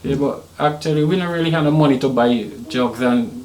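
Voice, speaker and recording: A man's voice: mid-range voice, brisk, darkish tone, echoey room. A man with a mixed Vincentian, Trinidadian and slightly American accent, talking casually as an interview guest. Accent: Caribbean, Vincentian-Trinidadian mixed with some American. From Saint Vincent and Trinidad. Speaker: podcast guest, Caribbean hip hop artist.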